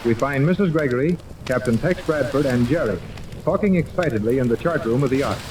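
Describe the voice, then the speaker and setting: A man's voice: medium pitch, expressive, a little fast, close to an everyday voice. A man narrating a scripted 1930s radio adventure serial as its storytelling announcer. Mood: dramatic, measured. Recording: distant, noisy old 1930s radio recording.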